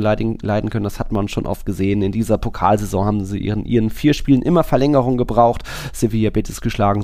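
Mellow-voiced man discussing football. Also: medium pitch, vocal fry, dry recording, studio quality. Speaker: football podcast host. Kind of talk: casual football analysis and preview.

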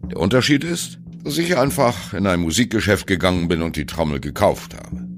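A man's voice: low voice, expressive, a little fast. An actor playing a sardonic jazz musician in a radio play, confiding his absurd story to the listener in a dramatic, wry manner. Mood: wry storytelling. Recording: music behind the voice.

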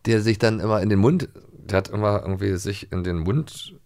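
A middle-aged man from East Germany chatting casually as a podcast co-host, telling stories in a rambling, halting way. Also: fairly low pitch, darkish tone, dry recording, clean studio sound. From East Germany (former GDR). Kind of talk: casual chat.

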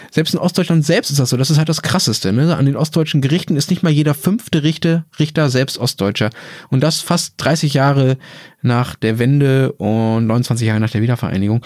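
A German man hosting a casual discussion, lively and teasing, repeating words for emphasis. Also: mid-range voice, no echo, clean studio sound. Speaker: podcast host.

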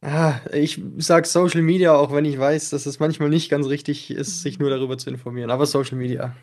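A man's voice: a little high, a little fast. A young man in his twenties, a guest on a podcast, talking casually with fillers and easy laughs. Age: 20s. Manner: relaxed, chatty, laughs often, many fillers. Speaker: podcast guest, tech creator.

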